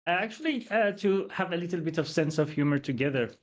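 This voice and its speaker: A man's voice: a little high, animated. Persian-accented man explaining his culture. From Iran. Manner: measured, explanatory, frequent "definitely" and "actually". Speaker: guest. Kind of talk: podcast interview, explaining culture.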